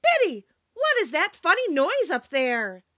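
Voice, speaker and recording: A woman voicing a gentle, merry, fearless little girl in a storybook, curious and playful. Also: very high-pitched, huge pitch swings, unhurried, lo-fi sound, pitched above the performer's own voice, full character voice.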